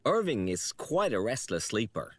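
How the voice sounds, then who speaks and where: An actor playing a young, naive apprentice angel in an adventure game, polite, curious and earnest. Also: male voice, mid-range voice, very animated, moderate pace, fairly bright tone, husky.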